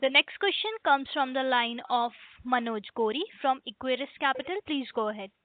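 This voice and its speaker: A female conference operator moderates a question queue with scripted lines. Her voice is high-pitched and comes dry through a narrow phone band. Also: a little fast, no room sound.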